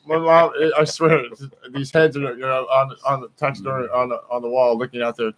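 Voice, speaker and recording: A man chatting casually as a podcast co-host, telling rambling family stories with lots of halting restarts. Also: mid-range voice, level tone, poor audio. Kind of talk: casual chat, storytelling.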